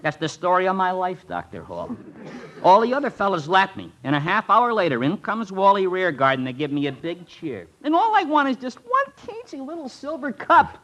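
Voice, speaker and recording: High-voiced eager, hapless college boy. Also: huge pitch swings, moderate pace, a bit bright, full character voice. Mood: plaintive, self-mocking. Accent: American. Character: eager, hapless young male college runner. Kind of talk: 1950s radio comedy acting. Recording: old radio broadcast, hiss and clicks.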